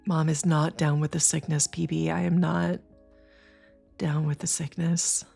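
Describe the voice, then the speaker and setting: Sniffly tired woman, gravelly voice. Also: low voice, level tone, unhurried, dark tone, soft background music. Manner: tired, rambling, chats with viewers. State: sniffly, congested. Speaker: streamer. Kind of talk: casual livestream chat.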